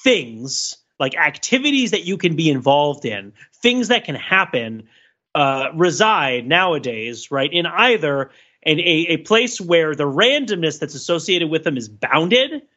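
A man hosting a podcast, talking through ideas in a casual, analytical and halting way, often checking in with "right?". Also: fairly high pitch, very animated, sharp tone, dull audio. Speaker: podcast host.

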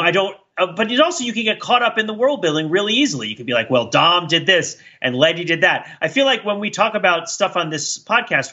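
Bright, higher-pitched man thinking aloud. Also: highs cut off. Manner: discursive, hedging, thinking aloud. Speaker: podcast co-host. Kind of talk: casual analytical pop-culture discussion.

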